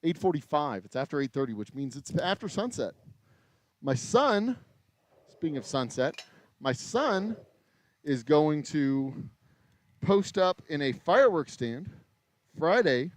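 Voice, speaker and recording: Tired, slightly raspy American man rambling. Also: fairly high pitch, big pitch swings, a little slow, pauses often, no room sound. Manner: rambling, self-interrupting, mild swearing. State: voice getting raspy from long talking. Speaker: distillery owner and livestream host. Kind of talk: casual livestream chat while working. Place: small craft distillery shop.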